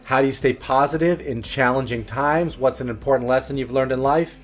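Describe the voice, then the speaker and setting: A man reading a scripted voice-over introduction in a clear, deliberate way. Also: medium pitch, soft background music. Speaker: video narrator.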